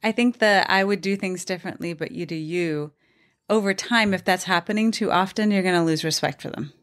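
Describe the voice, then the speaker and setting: Woman, 40s, low-pitched, frank advice-giver, podcast guest. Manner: advice-giving, frank, pauses and restarts. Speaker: relationship expert guest. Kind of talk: casual podcast conversation.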